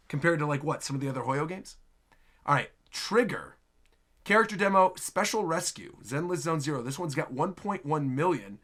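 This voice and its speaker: A man hosting a reaction video, giving chatty, excitable casual commentary on game trailers. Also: on the high side. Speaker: host, reaction video creator.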